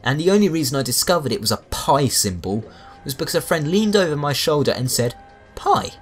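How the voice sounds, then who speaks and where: A man's voice: on the high side, sing-song, brisk, husky. A man giving a scripted video game review with wry, sarcastic asides. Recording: music behind the voice. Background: background music.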